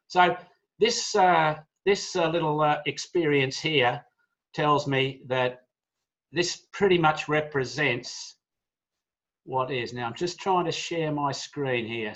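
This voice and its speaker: A man's voice: a little high, slow pace, a bit bright. A middle-aged man with an Australian accent, giving a loose, informal business talk on a video call, full of fillers and friendly asides. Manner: chatty, many "uh" fillers, friendly asides to the audience. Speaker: business speaker. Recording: dull lo-fi video call audio.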